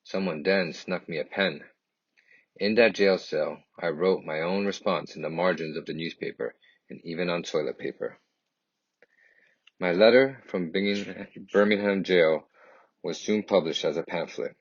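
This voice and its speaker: Man, very low pitch, raspy edge, earnest storybook narration, deliberate phrasing, slightly dull echoey recording. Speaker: reader of a children's picture book. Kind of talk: expressive scripted storybook narration in first person.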